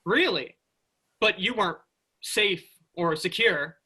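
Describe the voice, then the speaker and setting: Brash would-be starship captain, eager and cocky played by a male actor, high pitch, very sharp forceful tone, sci-fi comedy reading. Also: lively intonation, slow pace, husky, exaggerated voice. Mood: boastful. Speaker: actor in a student play reading.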